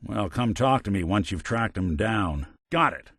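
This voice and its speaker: A world-weary android private eye with a low American voice that rises and falls with lively swings. He is gruff but decent, dryly sardonic, and speaks in old-time hard-boiled gumshoe style for a video game, recorded close and dry. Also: unhurried, no echo, heavily acted. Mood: reflective. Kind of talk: noir hard-boiled detective game dialogue.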